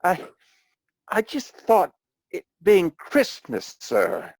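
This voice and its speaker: Man acting a meek, creaky-voiced clerk. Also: high voice, wildly swooping pitch, drawn-out words, no echo, heavily acted. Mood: meek, nervous. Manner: hesitant, deferential. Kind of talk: theatrical radio play acting.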